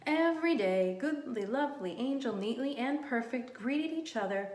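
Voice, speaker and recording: A woman doing a read-aloud storytime for young children in simple, encouraging language, animated and often asking questions. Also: high-pitched. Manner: animated, asks children questions and pauses for answers. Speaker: early childhood teacher reading aloud to children. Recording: roomy, echoey room sound.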